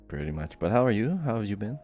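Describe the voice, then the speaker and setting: A man's voice: on the low side, sing-song, quick pace, mellow, faint music behind. A man co-hosting a podcast, chatting casually in a laid-back way. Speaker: podcast co-host. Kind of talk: casual chat.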